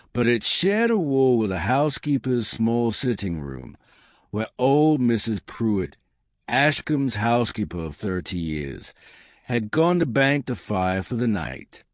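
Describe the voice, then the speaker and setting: A man reads historical fiction with a measured, dramatic touch. His voice sits on the low side and moves very slowly, rising and falling expressively. The sound is dry and free of room echo. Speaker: audiobook narrator. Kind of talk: scripted dramatic storytelling narration of historical romance.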